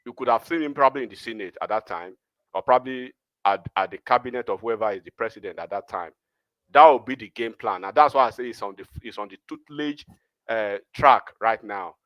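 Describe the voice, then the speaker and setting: A man's voice: on the low side, fairly quick, fairly bright tone, no room sound. A Nigerian man with a Yoruba accent, hosting a casual political discussion and talking in long, rambling runs full of restarts. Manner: rambling, many restarts and fillers.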